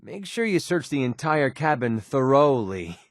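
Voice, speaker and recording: A man's voice: mid-range voice, sing-song, unhurried, no room sound, clean studio sound. An actor playing a sardonic young male mercenary in a video game, with an American accent and a casual, grumbling way of talking. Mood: wry. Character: wry young male mercenary sniper in a post-apocalyptic wasteland. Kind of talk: video game companion dialogue.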